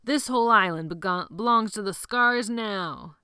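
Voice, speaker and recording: A woman voicing a brash, bossy teenage boy who leads a gang of boys, loud and rallying in an audiobook. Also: mid-range voice, moderate pace, clean tone, dry recording, clean studio sound, voice pushed up, natural voice. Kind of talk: audiobook character voice.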